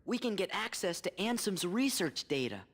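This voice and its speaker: A young actor playing an eager, excitable teenage boy hero in a video game cutscene. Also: high-pitched, normal speed, slight background noise. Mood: exasperated.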